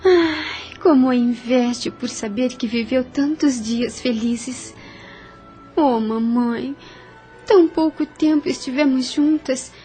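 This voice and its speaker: A high, melodramatic young heroine of a period radio romance, acted by a woman, sighing and pleading with sorrow. Clear music plays behind her emotive lines. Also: darkish tone. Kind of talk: audio novel acting.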